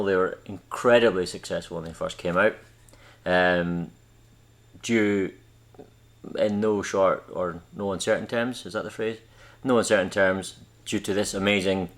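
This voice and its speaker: A man's voice: low-pitched, fairly bright tone. A young Scottish man hosting a hobby video, chatting casually and rambling a little as he corrects himself. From Scotland. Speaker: hobby channel host. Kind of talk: casual unscripted vlog talk.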